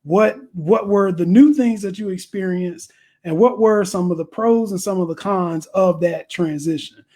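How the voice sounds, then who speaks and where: A man hosting an interview show in a relaxed, easygoing way, laughing often and restarting his questions. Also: high-pitched, moderate pace. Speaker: interview host. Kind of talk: casual interview chat.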